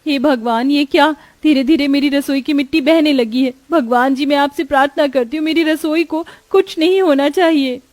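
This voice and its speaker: Woman as high-voiced earnest village girl. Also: moderate pace, few pauses, darkish tone, no room sound, natural voice. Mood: distressed. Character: earnest, sweet poor village girl devoted to her kitchen. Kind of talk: Hindi cartoon dialogue.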